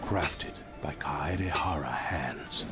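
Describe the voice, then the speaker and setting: Grave, deep sword spirit. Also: male voice, full character voice. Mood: solemn, resigned. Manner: grave, formal, deliberate. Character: solemn, remorseful spirit of an old cursed sword. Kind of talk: video game voice acting. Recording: loud music over the voice.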